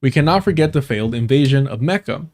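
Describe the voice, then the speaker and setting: A man's voice: medium pitch, lively intonation, quick pace, darkish tone. A man hosting a livestream, reading an article aloud, often stumbling over words and correcting himself. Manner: stumbling reader who corrects himself and apologizes. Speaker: livestream host.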